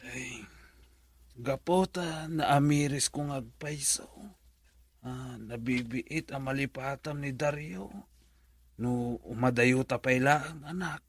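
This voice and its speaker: Husky Filipino father. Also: mid-range voice, slow, pauses often. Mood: tender, resigned. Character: tender, careworn father of a grown daughter. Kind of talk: radio drama acting.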